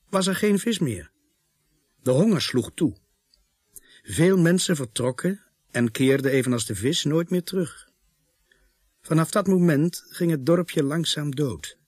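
A reflective narrator in a radio drama, recalling grim events. His husky voice moves expressively in pitch while staying measured and brooding. The sound is dry, with no room echo.

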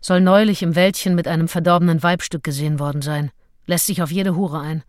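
A woman's voice: lowish voice, fairly even intonation, fairly quick, a little mellow, dry recording, studio quality, natural voice. An actress playing a passionate, self-questioning Englishwoman of the early 1800s who confides her diary in an intimate, introspective way in a radio play. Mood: disapproving. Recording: music behind the voice. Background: music with drums.

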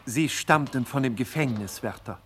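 An actor playing a pompous narrator who delivers a scripted crime serial with tongue-in-cheek drama. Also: male voice, medium pitch, normal speed, a little hiss or hum, natural voice. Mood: wry.

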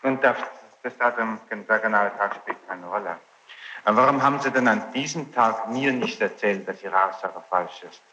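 A man's voice: lowish voice, moderate pace, pushed and bright. A man formally and insistently questioning a witness as a legal official in court. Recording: muffled echoey archival tape, faint noise.

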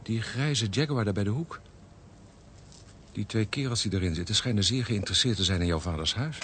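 An actor playing a protective, helpful man in a Dutch radio play, with a Netherlands Dutch accent and a husky voice. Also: lowish voice, fairly quick, fairly bright tone, a little hiss or hum, close to an everyday voice. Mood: watchful, brisk. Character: protective man offering a woman help.

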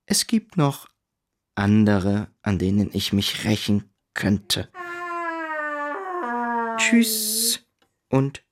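An actor playing a bitter young man who slowly, haltingly recites a reproachful farewell letter in a radio drama. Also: mid-range voice, very animated, exaggerated voice. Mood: bitter, wounded.

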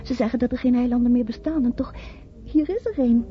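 A woman's voice: on the high side, fairly quick, natural voice. An actress playing a lovestruck, yearning woman of twenty-seven in a romantic radio play. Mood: dreamy, yearning. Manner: yearning, rapt. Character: dreamy, lovestruck 27-year-old variety dancer. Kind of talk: romantic radio drama acting. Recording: dull old radio recording, music behind. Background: loud music.

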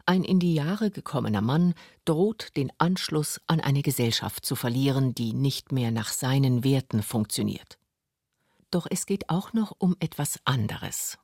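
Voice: mid-range voice, vocal fry, a little mellow, dry recording. A narrator reading a scripted radio documentary in a studio.

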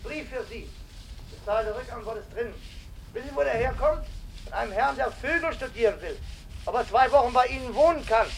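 A man's voice: very high voice, a little fast, gravelly, a little hiss or hum, poor audio. An actor playing a chatty village postman in a radio play, cheerful and persuasive. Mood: coaxing.

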